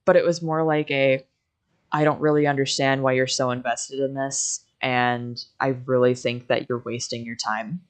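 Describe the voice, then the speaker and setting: A young woman talks casually, as if to a friend on a livestream. She tells stories in an animated, conversational way, slipping into quotes of what people said. Her pitch sits in the middle for a woman or a little below. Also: moderate pace. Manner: animated storytelling, quoting conversations.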